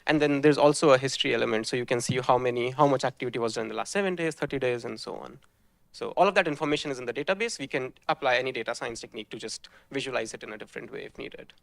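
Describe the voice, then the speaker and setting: A man's voice: mid-range voice, rapid-fire, gravelly, no room sound. A man presenting his team's hackathon project, explaining the technical pipeline step by step and often restarting his sentences.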